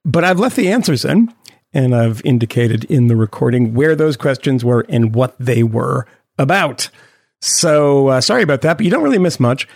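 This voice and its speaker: Gravelly guy hosting a podcast, rambling jokey banter. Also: mid-range voice, lively intonation, normal speed, a little mellow. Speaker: podcast host. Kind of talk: casual chat.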